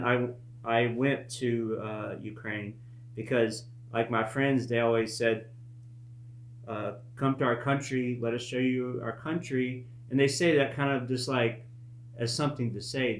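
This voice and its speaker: Halting man in an echoey room. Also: medium pitch, fairly even intonation. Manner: halting, reflective, earnest. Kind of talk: casual personal storytelling monologue. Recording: echoey room with faint hum.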